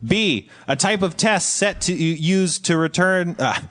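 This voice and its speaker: A man's voice: high-pitched, unhurried, fairly bright tone. A man chatting casually on a comedy panel and reading out quiz questions. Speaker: quizmaster and panelist on comedy podcast.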